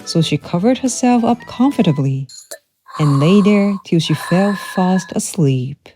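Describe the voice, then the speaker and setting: A woman storyteller reading a children's storybook aloud in an expressive, measured way, from a script. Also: fairly low pitch, soft background music.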